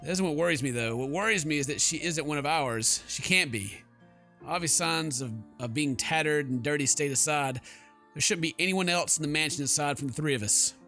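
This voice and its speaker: A man's voice: fairly high pitch, brisk, sharp tone, soft background music. A man doing let's play commentary, reading game text aloud dramatically and stumbling now and then. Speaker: gaming YouTuber.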